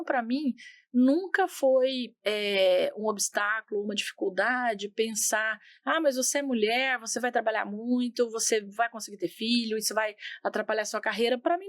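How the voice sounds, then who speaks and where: A woman's voice: on the high side, no room sound, studio quality. A middle-aged woman with a Minas Gerais accent, a guest telling her story in an interview, earnest and often restarting her words. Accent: Minas Gerais Portuguese. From Minas Gerais, Brazil. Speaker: guest, healthcare executive. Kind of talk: reflective interview conversation.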